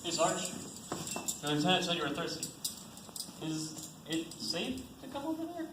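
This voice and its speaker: A man acts as a casual, grumbling army soldier on stage. His voice is a bit high, heard in an echoey room with noticeable background noise. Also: sing-song, moderate pace. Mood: joking, griping. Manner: informal, grumbling. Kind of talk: amateur stage acting.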